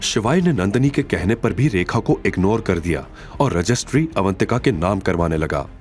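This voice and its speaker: Animated man narrating an audio drama. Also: sits low, moderate pace. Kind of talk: dramatic scripted story narration. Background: background music.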